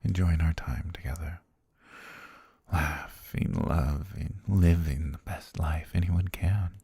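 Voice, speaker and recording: A man playing a possessive, lovestruck butler in an intimate ASMR roleplay, patient and menacing, with courtly, softly coaxing, half-whispered speech and a gravelly, husky low voice. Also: fairly even intonation, takes it slowly, no echo, heavily acted. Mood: dreamy, adoring.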